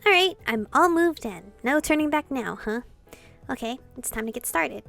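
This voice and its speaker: Woman, high voice, expressive game streamer, casual sweary asides, reading text aloud, music behind. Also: normal speed, vocal fry. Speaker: visual novel streamer. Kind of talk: gameplay commentary and reading dialogue aloud.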